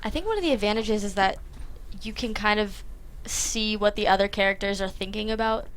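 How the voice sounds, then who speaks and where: A woman's voice: medium pitch, fairly quick, fairly bright tone, slight background noise. A young woman, a guest in a casual interview, talking informally with plenty of "like" and "kinda". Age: young adult. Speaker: interview guest, comedy screenwriter. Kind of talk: casual chat.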